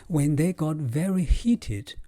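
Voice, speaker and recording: A male professor, explaining his subject in a measured, scripted way. His pitch moves a lot, lifting to stress key points, and his voice is warm and mellow. The recording is dry and close, with no room sound. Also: animated, dry recording. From China. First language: Chinese. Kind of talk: scripted lecture.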